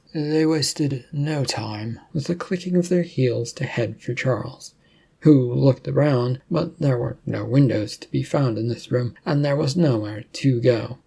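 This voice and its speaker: A man's voice: medium pitch, vocal fry, mellow, husky. A man narrating a fictional story as a storyteller, reading in an informal way.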